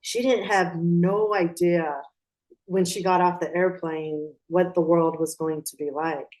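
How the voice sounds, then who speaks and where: A woman interview guest who was born in Pakistan and raised in Atlanta. She talks in a reflective way, circling back and restarting as she thinks, in a fairly low voice. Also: fairly even intonation, measured pace, darkish tone, rough recording. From Pakistan, raised in Atlanta, Georgia. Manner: reflective, with frequent restarts and fillers. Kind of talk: conversational interview.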